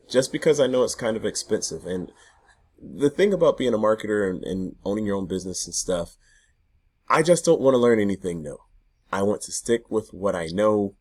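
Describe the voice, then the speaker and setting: Hoarse man, 30s, very low voice, loose chatty vlogger talking to camera in a garage. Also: a little mellow. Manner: chatty, loose, self-interrupting. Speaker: affiliate marketing vlogger. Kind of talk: casual talk to camera. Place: home garage.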